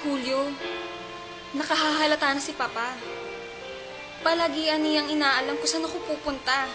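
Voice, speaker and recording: A woman's voice: high-pitched, steady tone, moderate pace, close to an everyday voice, poor audio. A young Filipino woman playing a devoted, defiant rich man's daughter in an amateur radio drama. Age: young adult. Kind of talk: melodramatic radio drama acting. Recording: loud music under the voice.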